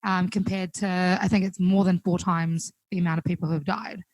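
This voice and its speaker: A young woman with a New Zealand accent, chatting casually as a guest musician, full of fillers and quick laughs. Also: on the low side, little pitch movement, darkish tone, dry recording. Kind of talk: casual chat on a call. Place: home, recorded remotely.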